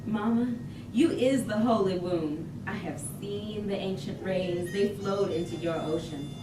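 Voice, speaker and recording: A woman's voice: mid-range voice, measured pace, close to an everyday voice. Woman acting a reverent, lyrical grown daughter, echoey and distant. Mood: reverent invocation. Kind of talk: staged reading of a poetic play. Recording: distant echoey room, loud background noise.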